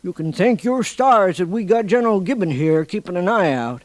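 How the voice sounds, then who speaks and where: Chatty rustic saloon keeper, American, high and animated, full of folksy gossip, old radio western. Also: male voice, normal speed, dry recording. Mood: ominous gossip. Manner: rustic, chatty, colorful drawl. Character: garrulous, folksy frontier bartender full of gossip.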